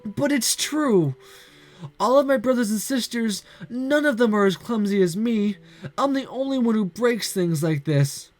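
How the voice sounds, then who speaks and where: A sweet, clumsy young female Santa, put on by a man in a high, cracking falsetto that swoops up and down. She sobs and berates herself, her voice soft and broken. Faint music plays underneath. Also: sing-song, normal speed. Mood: tearful. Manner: high breaking falsetto, sobbing and self-pitying. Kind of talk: amateur voiced story reading.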